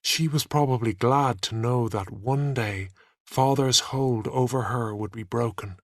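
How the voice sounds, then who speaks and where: A man reading a story aloud in an expressive, deliberate narrating style. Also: medium pitch, no echo, clean studio sound. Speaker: audiobook narrator.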